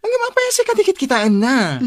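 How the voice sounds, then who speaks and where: A woman's voice: high-pitched, wildly swooping pitch, brisk, dry recording, clean studio sound. A woman playing a chatty, giddy young woman with an exclaiming, gossipy delivery in a radio drama. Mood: giddy gushing.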